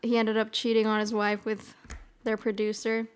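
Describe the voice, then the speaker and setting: A woman's voice: on the high side, monotone, normal speed, smooth. Bubbly young woman, mild Midwestern accent. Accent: mild Midwestern American. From American South. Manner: bubbly, rambling, lots of "freaking" and "oh my God". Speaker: streamer. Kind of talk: casual chat. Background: soft piano music.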